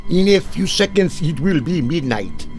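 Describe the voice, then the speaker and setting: An actor playing a sinister, scheming doctor in a theatrical old-time radio drama style. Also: male voice, on the high side, expressive, normal speed, a little mellow, soft background music, natural voice. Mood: sinister, solemn. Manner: theatrical, melodramatic. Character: scheming crooked male doctor with a sinister calm.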